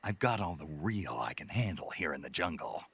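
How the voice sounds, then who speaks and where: An actor playing a gruff, brooding special forces soldier in a video game, with a gravelly, husky voice and terse delivery. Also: male voice, sing-song, brisk, fairly bright tone, exaggerated voice. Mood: dry, skeptical. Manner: terse, low-key.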